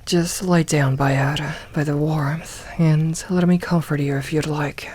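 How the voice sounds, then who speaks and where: A woman playing a gentle, motherly werewolf in a soft ASMR roleplay, soothing and reassuring as she guides a newly turned packmate. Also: deep voice, fairly even intonation, a little slow, slightly rough. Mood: gentle, comforting.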